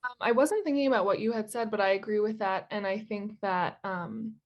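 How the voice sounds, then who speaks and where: A woman's voice: mid-range voice, fairly quick, lo-fi sound. A woman chatting casually over a video call as a volunteer reader giving feedback. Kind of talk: casual chat.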